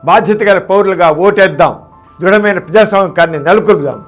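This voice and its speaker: A man's voice: high voice, fast talker, faint music behind. A man, a doctor, earnestly urging listeners in a short public service announcement. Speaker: doctor making a public appeal.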